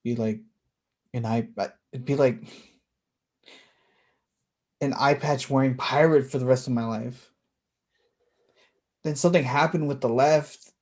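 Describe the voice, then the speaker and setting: A man's voice: mid-range voice, measured pace, pauses often, a little mellow. Hesitant, reflective young man, acted. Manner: hesitant, conversational. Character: reflective blind young man recalling his past. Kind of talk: staged play reading.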